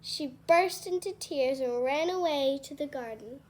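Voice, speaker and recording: A woman's voice: high-pitched, a little slow. A woman reading a children's storybook aloud as a storyteller.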